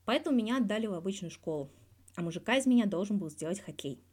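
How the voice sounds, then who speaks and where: Woman as a mellow, sardonic male hockey star. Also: medium pitch, lively intonation, fast talker, close to an everyday voice. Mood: reflective. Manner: candid, wry, colloquial with swearing. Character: guarded male hockey star in his thirties, sardonic, crude. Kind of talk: scripted interview in a fan fiction audio reading.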